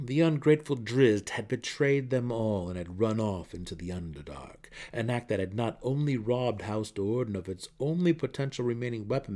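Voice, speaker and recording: A man's voice: on the low side, creaky, a little mellow. A man reading a fantasy novel as a narrator in a theatrical, emphatic way. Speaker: audiobook narrator.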